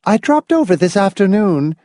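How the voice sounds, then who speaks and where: Old lady hostess played by a male reader, storybook: high-pitched, cheerfully bossy, emphatic and gushing. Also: very animated, moderate pace, mellow, no room sound, studio quality. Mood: joyous, gushing.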